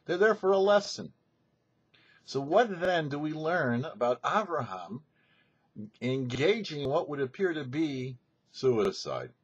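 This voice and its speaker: A male rabbi delivers a scripted sermon with a lively, swinging pitch and a husky edge to his voice. He is emphatic and instructive, setting out each phrase deliberately. The recording sounds somewhat dull and lo-fi. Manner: emphatic, didactic, rhetorical questions and deliberate phrasing. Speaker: rabbi, host of a weekly Torah show. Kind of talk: scripted religious teaching, sermon.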